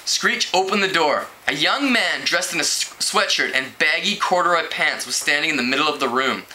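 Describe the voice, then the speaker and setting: Bright, forceful man reading aloud with lively pitch. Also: normal speed. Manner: expressive, acts out dialogue with pitch jumps. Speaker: amateur book reader. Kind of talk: reading a teen novel aloud. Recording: clearly noisy home recording.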